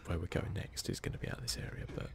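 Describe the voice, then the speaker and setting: A British man giving casual, hushed ASMR commentary on a video game, grumbling mildly as he plays. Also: rapid-fire. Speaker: gaming video host.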